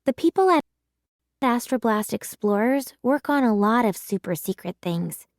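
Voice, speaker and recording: A woman's voice: on the high side, expressive, normal speed, dry recording, studio quality. A woman narrating a children's storybook in a scripted read-aloud style.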